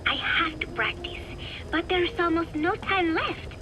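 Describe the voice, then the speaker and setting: An actress playing a wistful young woman, speaking softly and deliberately in an intimate taped diary for a video game. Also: extremely high pitch, expressive, noisy background. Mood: bright, proud.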